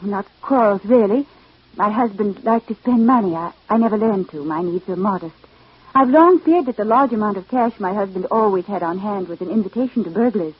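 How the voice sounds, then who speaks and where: An actress playing a reserved, genteel new widow in old radio drama, speaking in a formal, guarded way. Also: female voice, mid-range voice, brisk, natural voice. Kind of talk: 1940s-50s radio acting. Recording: tinny, phone-like old radio recording.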